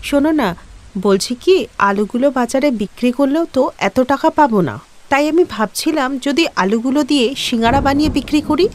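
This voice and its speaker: A woman's voice: high-pitched, lively intonation, normal speed. A woman voicing a caring, resourceful young wife and mother, earnest, in a cartoon moral story. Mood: hopeful.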